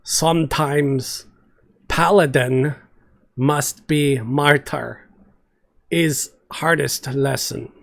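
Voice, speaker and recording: A man playing a massive, gentle, solemn green paladin in a fantasy story, speaking softly in halting, broken sentences. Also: medium pitch, fairly even intonation, creaky, heavily acted. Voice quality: soft-spoken. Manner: slow, halting broken speech.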